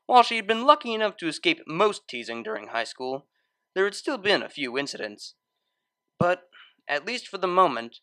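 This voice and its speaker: A narrator reading a scripted story aloud in an expressive storytelling manner. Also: medium pitch. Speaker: audiobook-style fan fiction reader.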